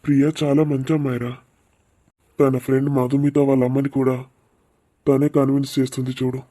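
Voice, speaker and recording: A man's voice: mid-range voice, little pitch movement, fairly quick, warm tone, close to an everyday voice. A man playing an exasperated middle-aged father in a cartoon. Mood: reassuring, advising. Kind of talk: animated cartoon dialogue.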